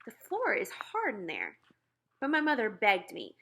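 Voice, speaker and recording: A woman reads a children's novel aloud. Her voice is bright and forward, at an average pitch, and her narration is expressive. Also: unhurried, gravelly.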